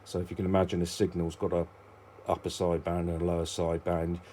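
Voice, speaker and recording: A British man with a deep, low voice presents a hobby demonstration off the cuff. He rambles, hesitates and breaks into his own sentences in a casual way. There is clear ambient noise behind him. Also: monotone, quick pace, warm tone. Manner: rambling, self-interrupting, hesitant.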